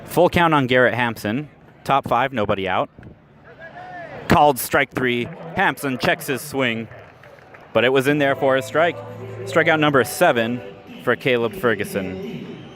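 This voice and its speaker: A man calling a minor league baseball game as a radio play-by-play announcer, steady and measured, rising sharply on the big plays. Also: mid-range voice, soft background music. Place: ballpark, outdoors.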